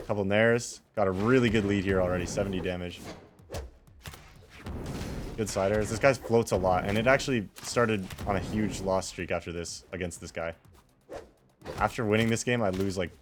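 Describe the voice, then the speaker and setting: A man with a low voice commentates his own video game replay. He speaks casually and off the cuff, rambling through his thoughts with wry self-deprecation. Music plays clearly behind his voice.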